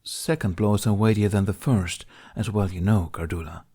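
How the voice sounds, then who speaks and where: A man acts out a cool-headed warrior monk in an audiobook. He is measured and courteous, with a wry, cunning edge as he bargains. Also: lowish voice, fairly even intonation, mellow, husky. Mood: calm challenge. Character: calm, cunning Jedi knight bargaining coolly.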